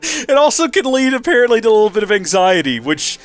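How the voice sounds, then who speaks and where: A man's voice: high-pitched, very animated, quick pace, faint music behind. Stuffy-nosed chatty gameplay host man. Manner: chatty, rambling asides. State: stuffy nose. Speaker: let's play host. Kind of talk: casual gameplay commentary.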